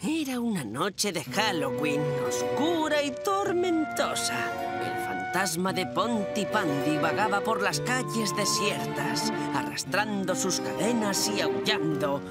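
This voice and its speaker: A mischievous young cartoon boy, with a theatrical way of drawing out words. The pitch swings about in a lively way, and loud music plays behind the voice. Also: slow pace. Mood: spooky, theatrical. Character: mischievous young boy telling spooky ghost stories. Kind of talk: dubbed children's cartoon acting.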